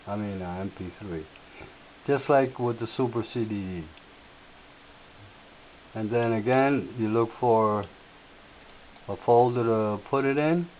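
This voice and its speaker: Guy w a lil raspy voice doing a slow software tutorial, tinny thin audio. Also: fairly low pitch. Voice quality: slightly raspy. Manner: unhurried step-by-step explaining with filler words. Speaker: tutorial host. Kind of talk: screen-share software tutorial. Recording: tinny lo-fi audio with faint hiss.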